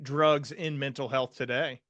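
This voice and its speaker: A man's voice: medium pitch, fairly even intonation, a little slow, fairly bright tone, highs cut off, slight background noise. A man hosting a conversational interview as a psychiatrist, talking in an earnest, explanatory way. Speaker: podcast host, psychiatrist.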